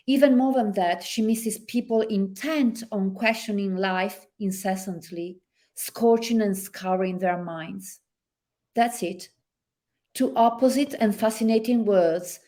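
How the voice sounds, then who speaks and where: Italian-accented female author, reflective and halting; guest reading her own prose remotely. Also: medium pitch, dark tone. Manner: thoughtful, hesitant with many fillers. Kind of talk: interview and reading from her novel.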